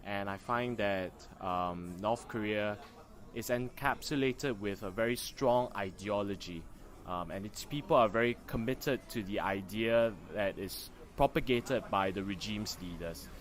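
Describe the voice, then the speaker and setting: A man's voice: lowish voice, unhurried. A young adult man with a Singaporean accent, giving articulate, formally worded answers in an interview. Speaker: master's student interviewee. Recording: faint ambient background noise.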